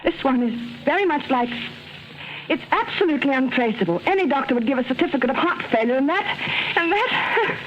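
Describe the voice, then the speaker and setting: High-voiced, frightened wife in radio drama. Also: female voice, lively intonation, brisk. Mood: halting, confessional. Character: frightened wife desperately stalling, feigning a murderous confession. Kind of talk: 1940s radio drama acting. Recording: dull, noisy vintage radio recording.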